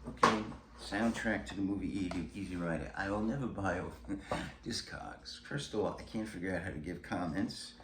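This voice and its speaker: A man's voice: deep voice, moderate pace. An older man chatting casually as he shows off a music collection, rambling and blunt in a chuckly way. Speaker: host of a music collecting vlog. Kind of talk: casual show-and-tell chat. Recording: roomy echo with clear background noise.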